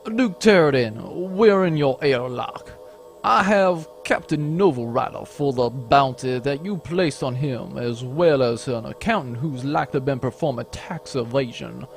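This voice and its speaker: A man's voice: mid-range voice, measured pace, creaky, soft background music. A man playing a pompous, declamatory space adventurer in a comic sci-fi audio drama. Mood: smug, proclaiming.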